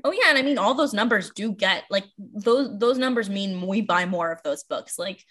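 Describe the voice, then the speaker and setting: A woman's voice: medium pitch, lively intonation, moderate pace, fairly bright tone. Chatty, rambling American woman. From Washington, D.C., United States. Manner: talkative, rambling, self-deprecating asides. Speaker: librarian and podcast host. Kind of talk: casual chat.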